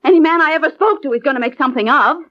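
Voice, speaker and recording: High-voiced defensive widow, old radio. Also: female voice, racing, fairly bright tone. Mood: indignant pleading. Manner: emphatic, indignant, dramatic. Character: defensive, pleading young widow with shady past. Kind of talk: 1950s radio crime drama acting. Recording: muffled old radio recording.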